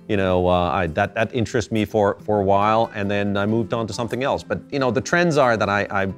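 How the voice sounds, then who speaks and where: A middle-aged man from Finland, answering interview questions thoughtfully, with halting restarts. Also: fairly low pitch, fairly even intonation, soft background music. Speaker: interview guest. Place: indoor gallery space.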